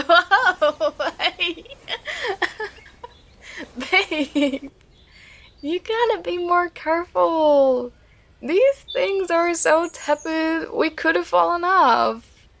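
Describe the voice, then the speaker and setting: A woman playing an affectionate, contented girlfriend in an ASMR roleplay, speaking in a tender, soothing, intimate and unhurried manner. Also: very high-pitched, creaky, a bit bright, exaggerated voice. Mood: playful, startled.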